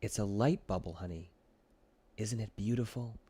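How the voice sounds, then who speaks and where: A man voicing a gentle, soothing ice cream lady. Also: on the low side, normal speed, slightly rough. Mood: reassuring. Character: kindly, reassuring, mysterious ice cream lady. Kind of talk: children's audiobook character voice.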